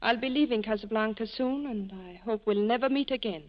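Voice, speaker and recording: A slightly high female voice from an actress plays a proud, sorrowful wife torn by love. Her delivery is earnest 1940s radio drama, with the muffled tone of an old broadcast. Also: fairly quick, lightly acted. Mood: wounded, regretful. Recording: dull lo-fi vintage radio broadcast.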